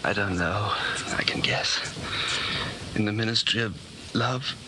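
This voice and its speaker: A man playing a tormented, frightened but stubbornly honest prisoner in 1940s radio drama style, strained, halting and pleading. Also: on the low side, sharp tone. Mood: weak, frightened. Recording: noisy vintage 1940s radio broadcast.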